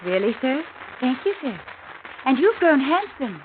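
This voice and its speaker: Teasing, lively young lady. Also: high-pitched, measured pace. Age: young adult. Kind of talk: old radio drama acting. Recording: tinny, noisy old radio recording.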